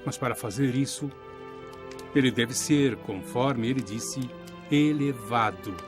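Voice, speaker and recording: A man playing a gentle, devout disciple explaining his faith in an earnest, reflective way in a dubbed Bible cartoon. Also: mid-range voice, takes it slowly, music in the background, close to an everyday voice. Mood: earnest devotion. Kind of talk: dubbed animated Bible drama.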